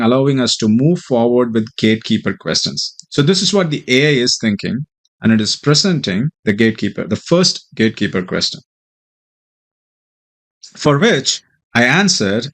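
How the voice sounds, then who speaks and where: A man explaining technical material as a software developer, speaking hesitantly with frequent ums and pauses. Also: mid-range voice. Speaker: software developer presenting a demo. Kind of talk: tech tutorial walkthrough and mock interview answers.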